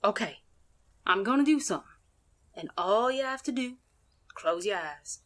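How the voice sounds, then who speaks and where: A woman's voice: medium pitch, lively intonation, moderate pace, fairly bright tone, natural voice. A woman playing a folksy, playful and mysterious Cajun healer in an audio drama, drawling. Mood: mysterious, assured.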